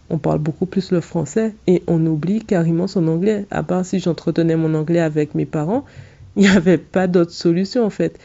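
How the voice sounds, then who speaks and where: A woman's voice: sits low, gravelly, mellow, lo-fi sound. A woman whose first language is English, hosting a solo podcast and giving direct, earnest advice with frequent fillers and restarts. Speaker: podcast host and English coach.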